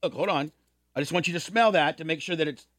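A man's voice: a little high, fast, a bit bright, no room sound, studio quality. A man hosting a casual comedy talk show, speaking in a colloquial, insistent, joking way. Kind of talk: casual, joking banter and ad reads.

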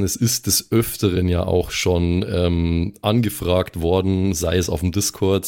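Lowish guy voice on a podcast, chatting with friends, colloquial and emphatic. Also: vocal fry, darkish tone. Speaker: podcast co-host and player. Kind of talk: casual chat among friends.

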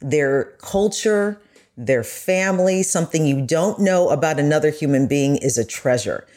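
A woman's voice: sits low, expressive, normal speed, darkish tone. A woman speaking as a podcast guest, earnest and enthusiastic in a reflective discussion.

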